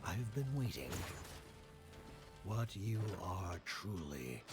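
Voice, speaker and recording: An imposing villain speaks in a very low voice at a slow pace. His delivery is formal and portentous, as in a fantasy game cutscene, with loud music underneath. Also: very animated, full character voice. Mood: solemn, persuasive. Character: imposing, solemn male villain recruiting a wanderer. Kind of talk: dramatic video game acting.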